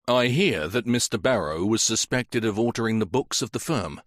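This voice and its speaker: A man voicing a calm, methodical police inspector who questions in a measured, polite way. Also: fairly low pitch, no room sound, studio quality, close to an everyday voice.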